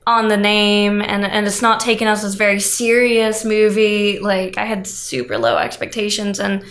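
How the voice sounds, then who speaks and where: A woman's voice: mid-range voice, normal speed, fairly bright tone, a little hiss or hum. A woman co-hosting a podcast, chatting casually about movies. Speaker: podcast co-host. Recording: roomy, echoing podcast recording.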